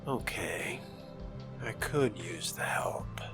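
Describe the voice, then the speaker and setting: A man role-playing a newly sired young vampire for a video game, hesitant and measured, with slightly formal wording. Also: on the low side, expressive, vocal fry, full character voice. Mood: uneasy, guarded. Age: young adult. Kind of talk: role-play acting over a video game. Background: music.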